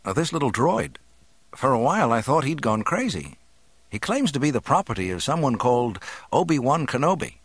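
An excitable young farm lad, acted by a male narrator, earnest and eager in a storybook adventure. The voice is lifted higher and is a little husky, with lively swings. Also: a little fast, no echo.

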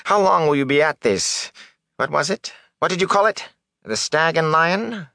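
A man voicing a ragged, nervous peddler in an audiobook, with a rasping, whining voice and rustic turns of phrase. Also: on the high side, animated, normal speed, sharp tone, dry recording, clean studio sound, voice pushed up, heavily acted. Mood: wary, nervous. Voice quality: rasping, sometimes dropping to a hoarse whisper. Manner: rustic dialect, nervous, wheedling rhetorical questions.

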